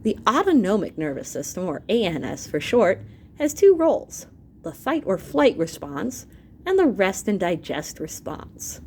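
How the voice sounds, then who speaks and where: Expressive woman reading a science book aloud. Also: mid-range voice, slightly rough. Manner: explanatory, expressive, pausing to clarify terms. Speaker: teacher reading aloud to students. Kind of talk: educational read-aloud of an illustrated science book.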